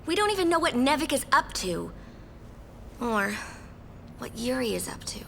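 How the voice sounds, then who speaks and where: Casting: eager female game squadmate, sincere, on the higher side. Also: big pitch swings, normal speed, vocal fry, bright, forceful tone, slight background noise, exaggerated voice. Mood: frustrated. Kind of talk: video game cutscene acting.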